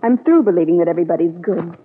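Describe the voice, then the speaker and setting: A woman's voice: fairly low pitch, animated, rapid-fire, slight background noise, close to an everyday voice. A woman playing a hurt, disillusioned young niece in an old-time radio soap opera. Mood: bitter, resolute. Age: young adult. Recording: tinny vintage radio recording.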